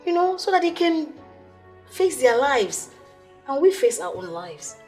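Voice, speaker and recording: A woman's voice: high-pitched, sing-song, a little slow, a little mellow, faint music behind. A woman playing a frustrated, pleading wife in a screen drama. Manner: pleading, complaining. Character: frustrated wife fed up with live-in sisters-in-law. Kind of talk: naturalistic screen drama acting.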